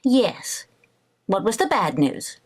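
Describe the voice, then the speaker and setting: An actress playing a sarcastic housewife who makes dry wisecracks, in vintage radio sitcom style. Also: female voice, mid-range voice, big pitch swings, slow pace, slightly rough, heavily acted. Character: sarcastic young housewife bristling at her mother-in-law.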